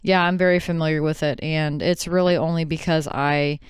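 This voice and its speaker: A woman's voice: low voice, fairly even intonation, moderate pace, clear, resonant tone, dry recording. A woman co-hosting a casual podcast chat with a dry, teasing manner. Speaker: podcast co-host.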